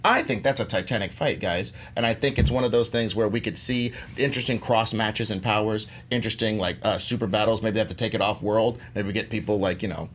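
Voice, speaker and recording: A man's voice: on the low side, fast, few pauses, slight background noise. A man making an informal, hyped-up argument as a movie debate contestant, in colloquial language with rhetorical questions.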